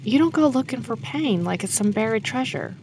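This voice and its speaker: A woman's voice: a little high, lively intonation, a little fast, a little mellow, a little hiss or hum. A woman playing an older wife and grieving mother, frank and consoling, in an audio drama. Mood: sombre, consoling.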